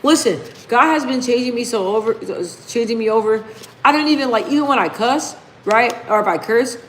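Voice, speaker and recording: A woman's voice: a little high, brisk, slight background noise, low-quality recording. A woman hosting a casual live stream, talking emphatically, repeating key phrases and reading viewer comments aloud. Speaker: livestream host.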